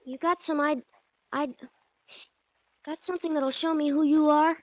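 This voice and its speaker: A woman's voice: sits high, fairly even intonation, moderate pace, no echo, lightly acted. A woman playing an earnest, secretive small-town American boy with hesitant kid slang in a 1940s radio drama. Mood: wary, secretive. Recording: dull old 1940s radio recording.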